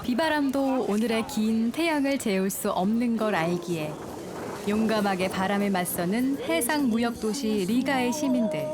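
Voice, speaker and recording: A woman reading a calm, lyrical documentary travel narration. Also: a little high, normal speed, crowd noise. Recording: studio voice-over with music.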